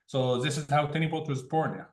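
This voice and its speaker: A man's voice: a little high, brisk, darkish tone. A man from Egypt, a tech founder telling his story as an interview guest in an easygoing way, often laughing between sentences. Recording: roomy echo.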